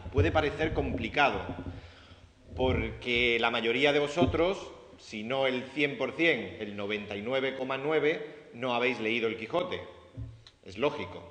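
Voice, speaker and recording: A man's voice: mid-range voice, a bit bright. A man with an Andalusian Spanish accent giving an informal, chatty lecture to students, often asking the audience questions and restarting his sentences. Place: lecture room. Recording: echoey hall.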